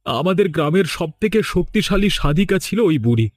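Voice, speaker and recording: A man's voice: high-pitched, animated, moderate pace, no echo. A man playing a protective, suspicious village father in a horror cartoon, insistent and dramatic.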